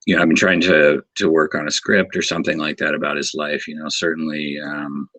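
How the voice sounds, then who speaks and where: A man being interviewed as a martial arts instructor, talking earnestly and haltingly, often repeating words as he searches for them. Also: rumbling low, little pitch movement, sharp tone, slightly rough, dry recording. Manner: earnest and grateful. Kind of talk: casual interview talk.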